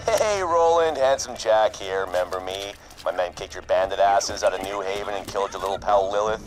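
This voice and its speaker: An actor playing a cocky, sarcastic villain in a video game, mocking and flippant with false friendliness. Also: male voice, lowish voice, brisk, natural voice. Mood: smug, mocking. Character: smug, cocky, sarcastic male villain tycoon. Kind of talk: scripted taunting message in a video game. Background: music.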